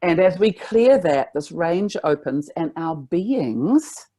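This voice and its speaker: A woman voice teacher talking in a reflective, spiritual way in a relaxed conversation, sometimes showing high and loud notes as she talks. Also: slow pace, slightly rough. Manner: reflective, spiritual, demonstrates pitch while talking.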